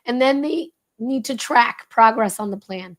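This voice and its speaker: Woman, hoarse and crackly, guest author, earnest explainer. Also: a little high, unhurried. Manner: earnest, explanatory, steady flow. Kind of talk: interview answer explaining a leadership framework.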